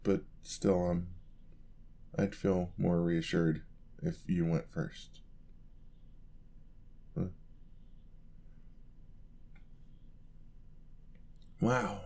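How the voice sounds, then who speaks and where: A man plays a young apprentice paladin in a fantasy audio roleplay. His voice is low and he speaks slowly, with a polite, eager and slightly clumsy charm. He chats hesitantly and gets flustered easily as he addresses the listener. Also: fairly even intonation, darkish tone, husky, heavily acted. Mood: hesitant, awed.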